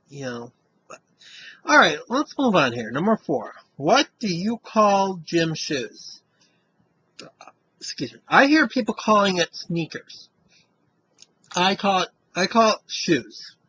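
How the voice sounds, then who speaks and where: A man's voice: fairly high pitch, bright, forceful tone, slightly rough, poor audio. A man with a Western Massachusetts accent, reading a word list and chatting casually to camera, rambling and tagging "you know" onto his sentences. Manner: rambling, says "you know" after almost every line. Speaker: vlogger doing an accent tag.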